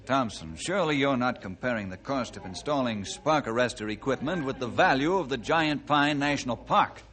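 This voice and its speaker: An actor playing a firm, upright forest ranger, earnest and assertive, in a 1950s radio drama. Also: male voice, mid-range voice, lively intonation, moderate pace, a bit bright, close to an everyday voice. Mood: stern.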